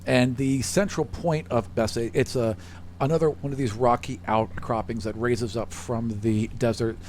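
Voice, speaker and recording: A man's voice: mid-range voice, fairly even intonation, moderate pace, darkish tone, slight background noise. A man narrating a tabletop fantasy game as game master, thinking aloud in a conversational way as he describes scenes.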